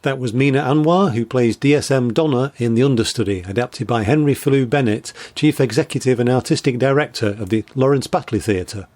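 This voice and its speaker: A man hosts a conversational interview, phrasing things piece by piece. His voice is medium in pitch, recorded dry with very little room sound. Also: a little fast, few pauses, a little mellow, dry recording. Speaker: interviewer and host.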